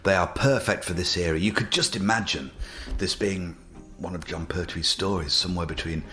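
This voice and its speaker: Deep, bright-toned enthusiastic male commentator. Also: moderate pace. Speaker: commentator on the episode. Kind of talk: reflective commentary.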